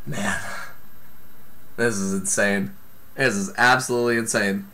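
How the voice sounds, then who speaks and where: A man giving excited live play-by-play commentary on a video game match, exclaiming often, while losing his voice. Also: lowish voice. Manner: excitable, exclaims often, talks fast in bursts. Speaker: esports commentator.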